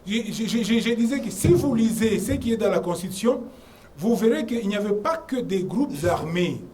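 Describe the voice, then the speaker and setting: A man's voice: high-pitched, expressive, moderate pace, darkish tone. A man arguing his case at a conference debate, emphatic and lecturing, often repeating words as he presses a point. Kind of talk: argued public talk on the constitution. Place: conference room. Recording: distant mic with faint room noise.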